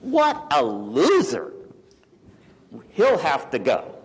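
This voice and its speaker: Man putting on a bossy high-voiced hen. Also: huge pitch swings, slow, full character voice. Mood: bossy, scornful. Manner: demanding, dismissive. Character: bossy, scornful female hens of a farmyard.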